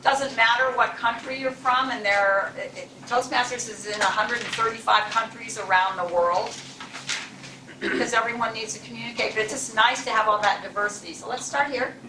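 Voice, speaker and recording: A woman's voice: fairly high pitch, steady tone, normal speed, distant mic, background noise. A woman, the club president, giving chatty, encouraging welcome remarks to guests in a chilly meeting room. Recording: echoey room, mic from afar.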